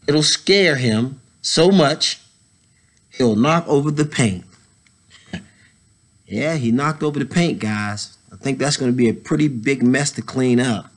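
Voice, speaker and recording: Man in his thirties, slow pace, medium pitch, children's read-aloud, pauses, casual asides to kids. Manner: unhurried, pausing, explaining to children. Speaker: story time reader, educator. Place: indoors, school library.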